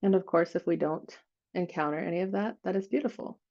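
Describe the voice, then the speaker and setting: A woman leading an improvised guided meditation, speaking in gentle, unhurried phrases with frequent pauses. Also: on the low side, vocal fry, muffled recording.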